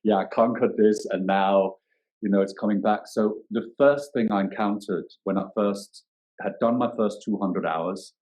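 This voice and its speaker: A man in his forties with a very deep, low voice. He talks in a reflective podcast interview, pausing and reworking his sentences as he searches for words. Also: steady tone, a little mellow, low-quality recording. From Denmark. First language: Danish. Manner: thoughtful, searching for words, many restarts. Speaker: yoga teacher and guest.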